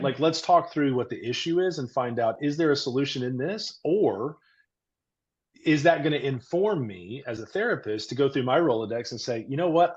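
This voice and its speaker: A man with a big, booming voice talks earnestly as a therapist on a podcast, explaining things through everyday comparisons. His pitch sits in a middle range, and the recording sounds a little dull and lo-fi. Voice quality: loud, booming voice. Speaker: therapist, guest. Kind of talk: casual podcast chat.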